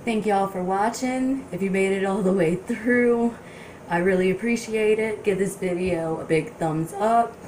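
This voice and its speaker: A woman's voice: medium pitch, measured pace, darkish tone, slight background noise, low-quality recording. A young woman, chatting casually as she walks through a cooking video in her home kitchen, recorded by a camera a few feet away. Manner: chatty, friendly, instructive with small restarts. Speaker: cooking vlogger. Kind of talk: casual cook-along talk to camera. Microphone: camera on counter a few feet away.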